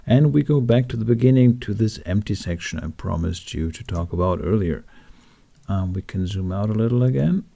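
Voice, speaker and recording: Man, low-pitched, creaky vocal fry, casual tutorial narration, informal and wry. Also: expressive, normal speed, mellow. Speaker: tutorial host.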